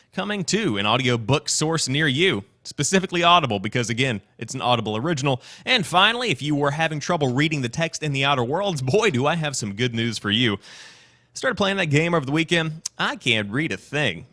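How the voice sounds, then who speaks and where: A man hosting a gaming news show in an energetic, polished presenter style, mixing scripted reading with commentary. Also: medium pitch.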